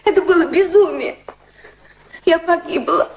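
An actress in a radio drama playing a frightened, ashamed society woman, pleading in a hushed, dramatic way. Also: extremely high pitch, a little slow, a little hiss or hum. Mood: tearful, pleading.

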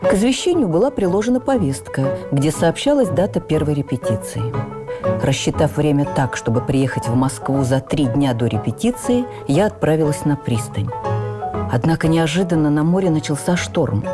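A woman playing a stage actress recalling her youth in a measured, expressive radio memoir reading. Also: low voice, over music. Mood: reflective, nostalgic. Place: radio studio.

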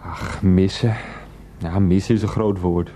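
An actor playing an evasive, petty, defensive husband in a Dutch radio play. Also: male voice, sits low, fairly even intonation, measured pace, mellow. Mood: evasive, irritated.

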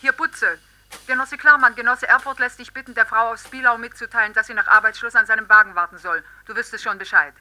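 Brisk, officious secretary, highish very tense voice, radio drama. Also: female voice, fairly even intonation, bright, forceful tone, poor audio. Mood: brisk, impatient. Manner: crisp, impatient, officious. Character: brisk, impatient female office secretary on telephone. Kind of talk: German radio drama acting.

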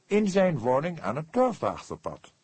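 A man's voice: fairly high pitch, wildly swooping pitch, normal speed, a little mellow, husky, no echo, voice pushed up, full character voice. A man voicing a pompous, precise, easily indignant book publisher in an audiobook, speaking in an emphatic, formal, affected way. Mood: stiff, subdued.

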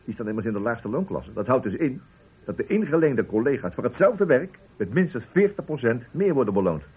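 An actor playing a nervous, deferential factory foreman in a radio play, raspy and eager to agree with his superior. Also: medium pitch, very animated, a little fast, a little mellow, low-quality recording. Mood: nervous, placating. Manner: deferential, hesitant, ingratiating. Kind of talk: Dutch radio play acting.

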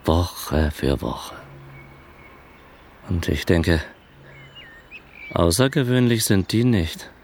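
A man acting in a German radio drama as a polite, fussy, aggrieved arts administrator, halting but expressive. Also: low-pitched, slight background noise, heavily acted. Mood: bitter, musing.

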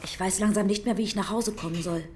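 A woman's voice: mid-range voice, fast, close to an everyday voice. An actress playing an anxious, uneasy townswoman in a radio drama dialogue. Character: anxious townswoman afraid of a murderer at large.

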